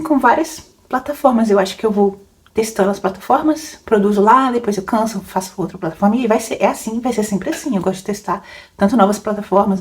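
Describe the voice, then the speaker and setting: A young adult woman, a book podcaster rambling casually through questions, laughing often and full of fillers. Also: medium pitch. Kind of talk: casual Q&A chat.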